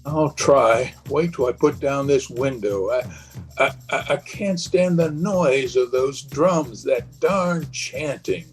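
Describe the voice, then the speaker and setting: An actor playing a haunted, superstitious, fearful husband in the dramatic, halting style of a 1930s radio horror play. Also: male voice, medium pitch, faint music behind, lightly acted. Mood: nervous dread. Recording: husky, muffled voice recorded over video call.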